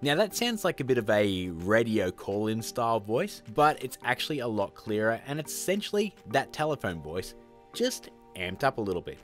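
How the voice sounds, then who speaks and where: A man's voice: mid-range voice, sing-song, moderate pace, faint music behind. A man in his thirties presenting a tutorial in a chummy, informal, upbeat way, talking to viewers as guys. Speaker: tutorial host.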